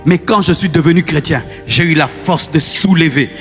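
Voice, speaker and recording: The kind of voice heard at a Congolese church service, a male preacher pitched high, urging the congregation over and over, his words ringing long through the hall. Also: moderate pace. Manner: exhorting, repetitive, rhetorical questions to the congregation. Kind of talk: fiery sermon. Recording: long hall reverb, music underneath.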